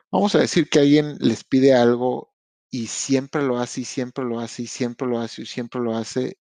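A man's voice: mid-range voice, a little mellow, no room sound. Frank Mexican man with a slangy podcast talk. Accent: Mexican Spanish. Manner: frank and slangy, addresses listeners directly, with restarts. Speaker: podcast host. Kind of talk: casual motivational podcast monologue. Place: lives in Canada.

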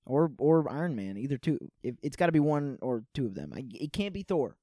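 Male movie-commentary co-host, casual and joking, lively swinging pitch, very dry recording. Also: a little fast, dry recording. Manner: joking, laughs often. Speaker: co-host of a film watch-along commentary.

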